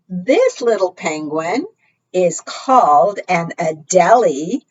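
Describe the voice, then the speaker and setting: Slow, very melodic woman storyteller. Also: mid-range voice, slightly rough, dull audio. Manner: sing-song, addresses small children with questions. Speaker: library storytime host. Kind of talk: storytime read-aloud and teaching for preschoolers.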